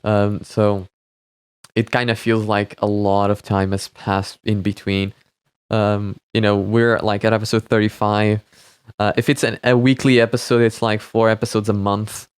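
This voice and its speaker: Smooth low-voiced man, rambling commentary. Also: flat delivery, moderate pace, no room sound, studio quality. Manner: hesitant, rambling, often repeating words. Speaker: host of a reaction and analysis series. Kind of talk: casual off-the-cuff commentary.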